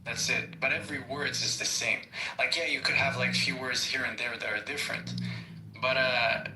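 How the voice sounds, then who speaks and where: A French Canadian man with French as his first language talks in a hesitant, searching way, restarting his sentences as he explains. His voice is very bright and sharp. It echoes around a roomy space. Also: mid-range voice, fairly even intonation, moderate pace, slight background noise. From Quebec, Canada. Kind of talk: casual debate.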